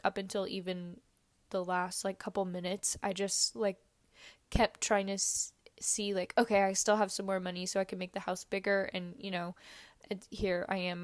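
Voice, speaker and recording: A young woman with a hoarse voice, sick and under the weather, chatting casually through a game voiceover, rambling with lots of fillers. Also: mid-range voice, no room sound. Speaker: video creator.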